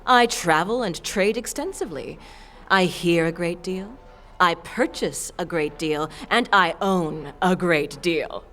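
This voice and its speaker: A woman's voice: fairly low pitch, fairly bright tone. A woman playing an imperious, sly bat-winged fiend shopkeeper in a fantasy audio drama, haughty and teasing with theatrical emphasis.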